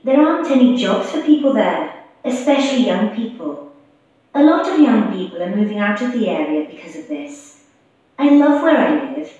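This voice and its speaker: A woman's voice: on the high side, dark tone, close to an everyday voice. Lady acting wistful young welsh girl in radio interview, muffled and echoey. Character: wistful young Welsh woman from coastal town. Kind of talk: scripted textbook radio interview. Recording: muffled echoey playback through classroom speakers.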